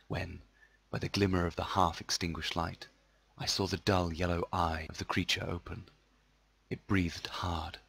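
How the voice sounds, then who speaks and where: Low-pitched man, scripted audiobook narration, classic fiction, measured, sombre. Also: creaky, a bit bright. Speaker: amateur voice actor.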